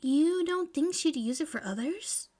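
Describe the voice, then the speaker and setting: A woman's voice: high voice, expressive, unhurried, darkish tone, voice pushed up. A woman voicing an eager, blunt, socially awkward young inventor girl in a story reading. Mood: eager, scheming.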